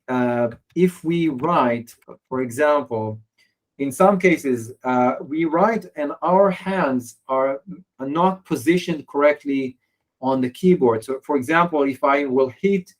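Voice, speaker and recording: Man demoing software, halting. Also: a little high, rough recording. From Israel. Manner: hesitant, many fillers and restarts. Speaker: software presenter. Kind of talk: software demo walkthrough.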